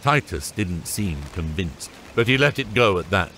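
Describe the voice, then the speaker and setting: A man's voice: sits low, expressive, moderate pace, fairly bright tone, slight background noise. A man narrating a scripted fantasy audiobook.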